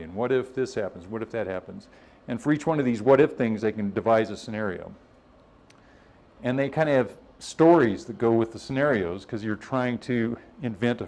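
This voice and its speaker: A man's voice: mid-range voice, a little mellow. A man presenting at a scientific workshop in an informal, conversational way, explaining things and voicing other people's positions as he goes.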